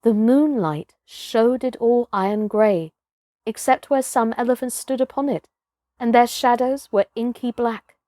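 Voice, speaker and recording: High-voiced woman reading a dramatic children's story. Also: unhurried, no room sound, studio quality. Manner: dramatic, varies between hushed and emphatic. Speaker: storybook reader, performer. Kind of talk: expressive children's story narration.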